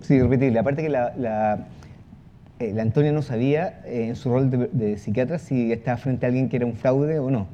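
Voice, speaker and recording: Mellow man over background noise. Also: mid-range voice, a little fast, highs cut off. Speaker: panel guest. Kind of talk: spontaneous panel talk. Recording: clear background ambient noise.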